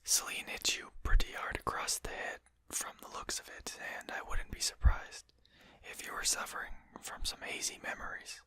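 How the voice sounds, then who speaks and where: A performer voicing a courteous, composed vampire lord in a breathy whisper, speaking formally and politely for an ASMR roleplay. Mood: calm, courteous menace. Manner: polite, formal, unhurried hushed speech.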